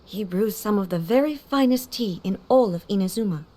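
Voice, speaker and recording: A woman's voice: mid-range voice, lively intonation, brisk, mellow, slight background noise, lightly acted. A woman voicing a solemn, commanding warrior ruler in a video game, speaking in a formal, stately manner. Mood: calm, formal.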